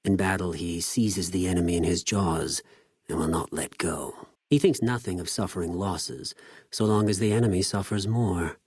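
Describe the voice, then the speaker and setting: A low, husky, cold-blooded legion spy. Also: male voice, fairly even intonation, darkish tone, dry recording, studio quality. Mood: solemn, proud. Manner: measured, formal, menacing, precise diction. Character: cold, cunning male spy of a Roman-styled slaver army. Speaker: video game voice actor. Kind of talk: scripted video game character dialogue.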